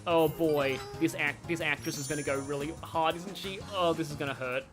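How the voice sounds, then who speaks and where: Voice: medium pitch, steady tone, fairly quick. A game streamer giving casual, rambling livestream commentary, chatting and reacting aloud to the game. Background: game music.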